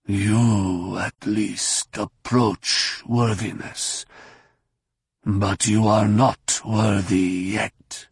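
A man voicing a menacing, contemptuous alien villain with a growling, raspy voice in an audiobook. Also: lowish voice, level tone, no room sound, studio quality, full character voice. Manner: slow, menacing, sneering.

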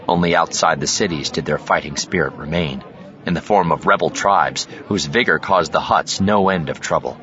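A man's voice: extremely low pitch, normal speed, a bit bright. A man reading science fiction as a dramatic, scripted audiobook narration. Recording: slightly dull studio track over ambient effects.

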